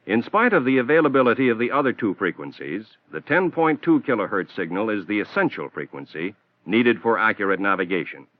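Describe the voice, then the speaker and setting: A man giving measured, scripted technical narration for an instructional film. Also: fairly low pitch, big pitch swings, no echo. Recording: tinny narrow-band old film soundtrack.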